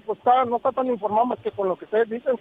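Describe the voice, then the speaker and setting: A man's voice: very high-pitched, fairly even intonation, racing, husky, no echo. A man calling in to a radio show, asking rambling, hesitant questions. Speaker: caller. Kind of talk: casual radio call-in chat. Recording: tinny phone line.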